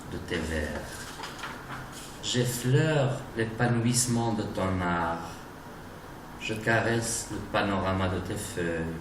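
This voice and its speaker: A man giving a dramatic, declamatory recitation of romantic poetry in deliberate phrases. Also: sits low. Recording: distant, echoey, noisy recording.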